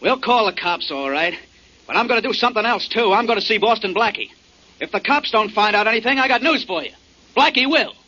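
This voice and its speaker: A man's voice: high-pitched, animated, brisk, pushed and bright, a little hiss or hum. An actor in a 1940s radio drama playing a hot-headed, suspicious American man, insistent and slangy, on a muffled old recording. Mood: heated, accusing.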